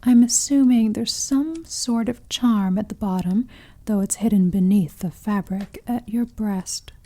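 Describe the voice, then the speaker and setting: A woman playing a seductive, possessive female vampire in an intimate ASMR roleplay, speaking softly and breathily, often whispering, with teasing, silky condescension. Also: medium pitch. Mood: curious, coaxing. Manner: slow, teasing, silky condescension with pet names.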